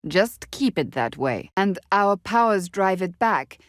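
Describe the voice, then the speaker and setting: A woman's voice: medium pitch, big pitch swings, a little slow, no room sound, studio quality. An actress voicing a stern, proud warrior woman in a video game, with a blunt, formal, clipped delivery that is earnest and easily exasperated. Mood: stern, matter-of-fact. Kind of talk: video game character dialogue.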